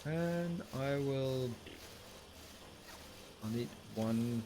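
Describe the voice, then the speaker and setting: A man's voice: mid-range voice, extremely slow, noisy background. A man streaming a game tutorial, chatting casually with viewers and thinking aloud as he builds.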